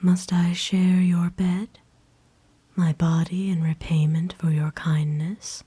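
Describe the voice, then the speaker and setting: Woman acting a softly whispering, genteel vampire lady. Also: on the low side, little pitch movement, exaggerated voice. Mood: gentle, explaining. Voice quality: soft, breathy whisper. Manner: formal, genteel, tender, unhurried. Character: kind, doting aristocratic female vampire owner, gently possessive. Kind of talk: ASMR roleplay, whispered.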